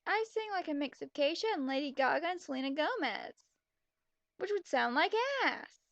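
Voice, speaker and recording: A woman's voice: high voice, lively intonation, moderate pace, gravelly, fairly bright tone, no echo. A young woman reading a story aloud with blunt, mocking, exasperated commentary, on a slightly dull home recording. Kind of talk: sarcastic reading with running commentary.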